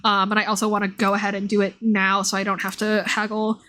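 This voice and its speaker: A woman's voice: medium pitch, completely flat delivery, fast, pushed and bright, slight background noise. A woman chatting casually and playfully on a live game stream, with informal, slangy speech. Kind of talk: casual live stream chat over gameplay.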